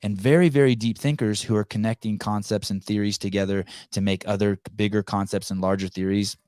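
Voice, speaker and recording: A man's voice: fairly low pitch, fairly even intonation, brisk, a little mellow, dry recording, clean studio sound. A man whose first language is Armenian, hosting a casual podcast conversation, rambling with frequent restarts and blunt profanity. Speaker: podcast host. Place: home in California.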